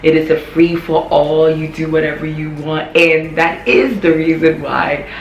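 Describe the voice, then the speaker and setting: A young woman with a hoarse, worn voice, chatting candidly to her vlog viewers and repeating phrases for emphasis. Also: deep voice, fairly even intonation, measured pace, highs cut off, slight background noise. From Ghana. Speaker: vlogger. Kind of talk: casual talking-to-camera vlog. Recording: echoey room.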